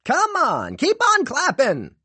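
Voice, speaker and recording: A man voicing an excited boy and girl in a squeaky, high, bouncy voice. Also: a little slow, background noise, full character voice.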